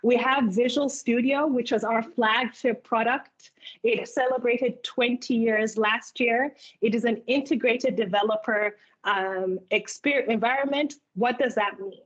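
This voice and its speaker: Woman in her thirties from Uganda, fairly high voice, structured presenter. Lo-fi call audio. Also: steady tone, unhurried. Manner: clear, organized presenter, speaking in lists. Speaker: keynote speaker and product manager. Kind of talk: presentation at a virtual hiring event. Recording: muffled video call audio.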